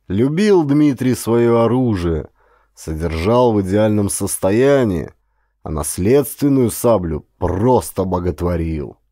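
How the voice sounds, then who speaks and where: Low, mellow male audiobook narrator. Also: dry recording, studio quality. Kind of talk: scripted dramatic audiobook narration of an adventure novel.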